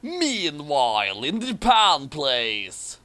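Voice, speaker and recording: A man reading a story aloud in an animated, dramatic way with joking commentary. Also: fairly high pitch, takes it slowly. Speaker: host reading fan fiction aloud.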